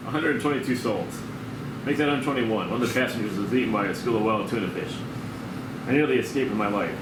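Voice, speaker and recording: A man's voice: on the low side, fast, lightly acted. A man playing an earnest, melodramatic airline captain who survived a crash. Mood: grave. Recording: echoey, noisy room, far from mic.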